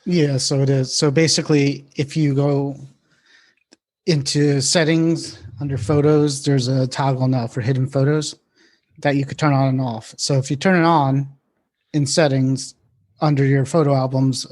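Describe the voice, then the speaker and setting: A man's voice: mid-range voice, level tone, moderate pace, a little mellow. A man co-hosting a casual tech chat in an informal, loosely phrased way. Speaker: podcast co-host.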